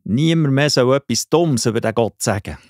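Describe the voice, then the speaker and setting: A man's voice: medium pitch, lively intonation, normal speed, a little mellow, dry recording, clean studio sound, natural voice. An actor playing an imperious, hot-tempered king in a children's audio play, with a Swiss accent and a theatrical, commanding manner. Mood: awed, solemn.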